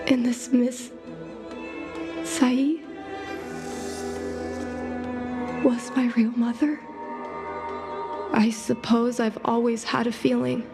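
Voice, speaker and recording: Game casting: earnest, hesitant daughter, lightly high voice with some fry, over music. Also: female voice. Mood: stunned, pensive. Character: earnest young girl, daughter longing to be a pilot. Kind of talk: video game voice acting. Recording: clean game dialogue over background music.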